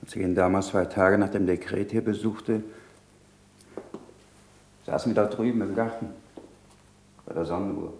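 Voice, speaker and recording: A grave, gravelly, anxious disciple. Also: male voice, sits low, fairly even intonation, moderate pace, pauses often, darkish tone. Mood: grave, reflective. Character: anxious loyal male disciple of a condemned scientist. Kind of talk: German radio play acting.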